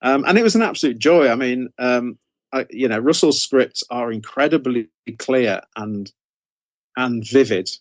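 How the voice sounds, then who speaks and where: A British man in middle age chats as an interview guest, with a mid-pitched voice and a very dry recording. He tells anecdotes in a meandering way, often breaking off and restarting his sentences. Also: lively intonation, normal speed, no room sound. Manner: rambling, self-interrupting storytelling.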